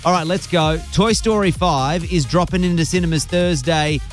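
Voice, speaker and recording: An Australian man hosting an evening radio show in a studio, playful and teasing, with quick asides. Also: on the high side, soft background music.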